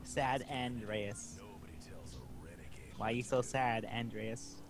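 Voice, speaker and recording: A man's voice: mid-range voice, steady tone, takes it slowly, music in the background. Man joking around on a gaming call. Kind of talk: casual joking chat on a group call.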